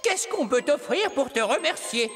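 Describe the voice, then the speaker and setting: A woman voicing an excitable character in a children's cartoon tale. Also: sits high, moderate pace, reverberant, over music. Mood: soothing.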